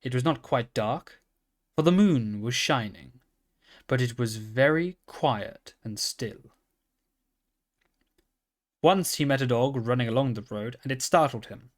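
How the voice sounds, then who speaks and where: A man reading a children's storybook aloud in a calm, steady manner. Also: mid-range voice, measured pace, pauses often. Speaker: reader.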